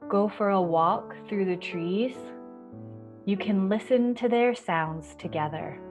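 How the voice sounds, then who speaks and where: A woman hosting a children's storytime, reading and explaining in a soft-spoken, reassuring, measured way. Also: mid-range voice, music in the background. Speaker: children's library storytime host. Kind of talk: gentle picture-book reading and explaining to children.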